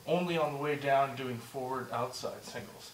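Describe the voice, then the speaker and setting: A man teaching a movement drill step by step, encouraging and repeating himself, slightly hoarse and short of breath. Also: medium pitch, normal speed, echoey room, far from the mic, slight background noise, poor audio. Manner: encouraging, repeats "just like that" and "good". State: losing his voice, a bit out of breath. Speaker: instructor. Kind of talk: step-by-step demonstration lesson.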